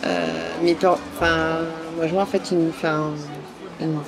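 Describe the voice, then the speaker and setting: A woman's voice: low voice, little pitch movement, measured pace, music in the background, poor audio. A woman from France answering questions in a street interview outdoors at a summer event, hesitant, with many fillers and restarts. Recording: outdoor event with crowd noise. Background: crowd chatter.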